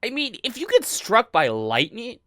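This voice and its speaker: A woman's voice: on the high side, wildly swooping pitch, moderate pace, fairly bright tone, no echo, studio quality. A woman hosting a true crime podcast, telling the story in a casual chat, giggling often and stumbling over her words.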